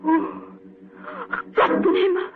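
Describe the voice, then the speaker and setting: An actress with a German accent playing an earnest, troubled young woman in 1940s radio drama, speaking formal, halting English. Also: noisy background, natural voice. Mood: tense. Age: young adult. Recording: muffled, worn 1940s radio recording.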